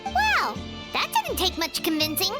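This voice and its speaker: A woman voicing a small, excitable, easily indignant fairy girl in a video game, squeaky and childlike. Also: measured pace, over loud music, full character voice. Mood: excited. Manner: exclamatory, speaks of herself in third person.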